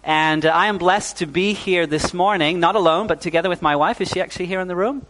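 Young man from New Zealand, fairly high voice, a pastor in mid-sermon with a warm, chatty delivery full of "uh"s. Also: fast talker, low-quality recording. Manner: warm, fillers like "uh", calls for "amen". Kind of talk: sermon to a congregation.

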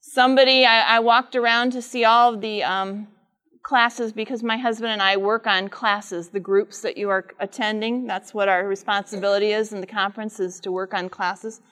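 A middle-aged woman with a loud voice speaks live to a conference audience, teaching and asking them frequent questions. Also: mid-range voice, fairly even intonation, normal speed. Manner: says she has a loud voice. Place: large conference room with air conditioner.

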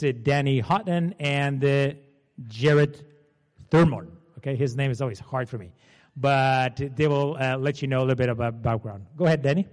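A man in his forties with a Portuguese accent opens a seminar in a loose, chatty way and keeps checking in with the audience. His voice sits a little high for a man. The sound rings with the long tail of a large hall. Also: darkish tone. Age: 40s. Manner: chatty, asks the audience to confirm. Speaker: seminar presenter. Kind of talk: informal seminar talk. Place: seminar room.

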